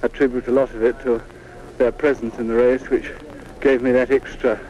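Flat-voiced man on noisy archive tape. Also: medium pitch, normal speed.

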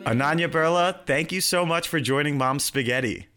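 A man's voice: fairly high pitch, moderate pace, pushed and bright, soft background music. A man hosting an interview podcast, upbeat and effusive, praising his guest and asking questions. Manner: upbeat, effusive, laughs easily. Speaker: podcast host.

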